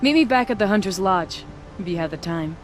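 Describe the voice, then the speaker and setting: A woman's voice: medium pitch, animated, brisk, soft background music, natural voice. A woman playing a proud, steely noble huntress in a video game, formal and poised in her speech. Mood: warm, satisfied.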